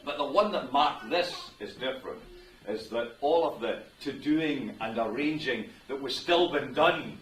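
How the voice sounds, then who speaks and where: A man's voice: medium pitch, animated, measured pace, a bit bright. A middle-aged Scottish man officiating a wedding ceremony, chatty and joking with his guests. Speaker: wedding minister. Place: wedding venue indoors. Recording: roomy, distant mic with venue noise.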